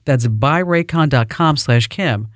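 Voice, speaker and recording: A man's voice: mid-range voice, animated, a little slow, dry recording. A man reading a sponsor ad as a personal endorsement, in an upbeat, friendly manner. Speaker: ad reader. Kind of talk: read sponsor ad. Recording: dry close studio.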